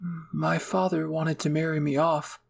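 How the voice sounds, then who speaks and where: Guy doing a nervous shy young anime runaway, stammery n hesitant, croaky husky voice. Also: fairly high pitch, flat delivery, normal speed, voice pushed up. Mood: sad. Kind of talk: anime fan roleplay acting.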